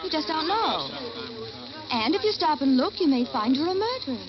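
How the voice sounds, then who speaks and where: A woman's voice: sits high, very animated, normal speed, crowd noise. An actress playing a chatty, oblivious woman friend in a 1940s radio drama, talking in a folksy, rambling way. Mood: musing. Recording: muffled noisy vintage radio recording.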